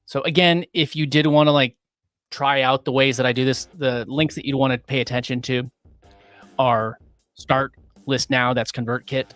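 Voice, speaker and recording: A man hosting a casual livestream, upbeat and rambling with many fillers, his voice going a little hoarse. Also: medium pitch, steady tone, normal speed, fairly bright tone, soft background music. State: losing his voice. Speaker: livestream host.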